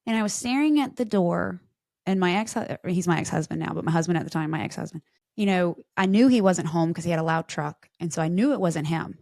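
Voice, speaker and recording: A woman in her forties tells stories in a lively, chatty way and slips into other people's voices as she goes. Her voice sits a little low for a woman. The sound is dry and close, with no room echo. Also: brisk, vocal fry. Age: 40s. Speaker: podcast guest. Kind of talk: casual storytelling chat.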